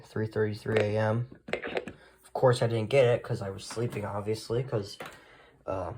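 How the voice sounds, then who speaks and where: Guy doing a casual show and tell, middle pitched voice, kinda rambly, trails off a lot. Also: background noise. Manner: rambling, trailing off with short asides. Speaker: hobbyist video host.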